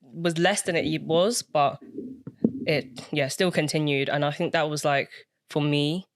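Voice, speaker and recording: Soft-spoken young woman telling stories. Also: low-pitched, fairly even intonation, measured pace, no room sound, clean studio sound. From Britain. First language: Cantonese. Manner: soft-spoken, reflective storytelling with many fillers. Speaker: guest. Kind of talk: casual podcast chat.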